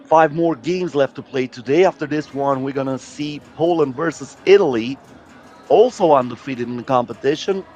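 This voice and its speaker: A man's voice: medium pitch, moderate pace, a little mellow, highs cut off, soft background music. A man giving live play-by-play commentary on a basketball game as a sports commentator.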